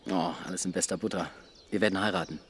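A man's voice: low voice, steady tone, fast, fairly bright tone, slight background noise. A man playing a cheeky, colloquial young backpacker in a German radio drama, with a bantering manner. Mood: teasing.

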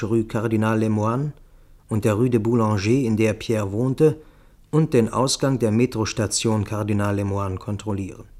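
A man's voice: medium pitch, darkish tone. A man reading scripted literary narration for radio as a matter-of-fact first-person storyteller.

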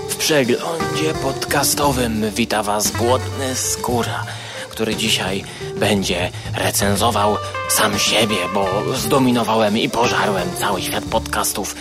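A man's voice: fairly low pitch, unhurried, loud music behind the voice. A man giving an informal, humorous podcast monologue in a jokingly boastful, hyperbolic way. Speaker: podcast co-host reviewing podcasts.

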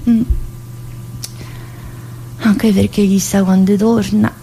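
A woman's voice: mid-range voice, flat delivery, slow pace, gravelly, mellow, a little hiss or hum, rough recording. An actress playing a chatty, wistful mother in prison, in a colloquial theatrical monologue with a Southern Italian, Neapolitan-tinged accent. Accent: Southern Italian, Neapolitan-influenced. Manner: colloquial, addressing listeners with frequent tag questions.

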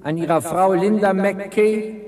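An actor playing a stern prison governor at a hanging, formal and solemn, in 1960s radio drama style. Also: male voice, high voice, steady tone, takes it slowly, a little mellow, reverberant, big-room sound. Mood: grave, formal. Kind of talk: 1960s German radio crime drama acting.

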